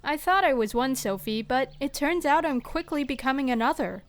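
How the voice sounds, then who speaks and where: Eccentric lab spokeswoman played by a woman, high crystal-clear voice, courteous, formal and oddly literal, for scripted audio drama. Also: animated, normal speed. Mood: serenely odd. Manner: formal, precise phrasing. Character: odd, polite laboratory spokeswoman, serenely literal and eccentric. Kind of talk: scripted audio fiction acting.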